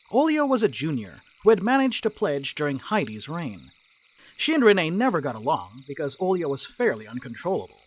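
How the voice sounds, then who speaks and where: A man's voice: on the high side, breathy edge. A man reading scripted narration for a dramatic reading. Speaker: narrator reading stage directions.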